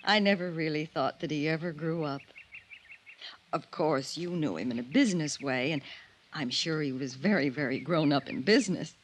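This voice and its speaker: An actress playing a frail, gentle little woman in a 1950s radio drama, speaking in a wistful, genteel manner. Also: low voice, moderate pace, a little hiss or hum, lightly acted. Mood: wistful, tender.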